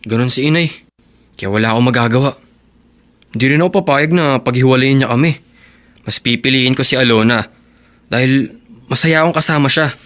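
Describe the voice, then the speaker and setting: Quick-talking earnest young man , acted by a man. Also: mid-range voice, fairly bright tone, natural voice. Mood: resigned, firm. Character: young working man, meek but stubbornly devoted. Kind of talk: radio drama acting.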